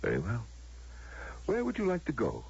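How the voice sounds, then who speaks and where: An actor playing a solemn, knowing man who grants wishes, gravelly and persuasive in a radio drama style. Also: mid-range voice, huge pitch swings, darkish tone, full character voice. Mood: musing. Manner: measured, grave, persuasive, theatrical. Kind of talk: American radio drama acting. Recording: muffled, lo-fi old radio broadcast.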